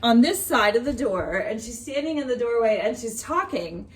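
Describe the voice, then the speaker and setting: A woman talking casually and expressively about books and telling personal stories, often pausing mid-thought as a vlogger does. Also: fairly high pitch, expressive, slight background noise. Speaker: book reviewer vlogger. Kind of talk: casual chatty book review and personal storytelling.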